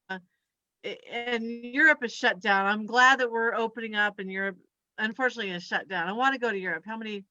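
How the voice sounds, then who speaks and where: A woman performs loose, conversational stand-up in a bright, forward voice. She talks haltingly, drifting between thoughts and giggling at her own remarks. The sound is dry and slightly dull, like a lo-fi recording. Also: fairly high pitch, no room sound. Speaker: stand-up comedian and chiropractor. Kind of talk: rambling conversational stand-up set.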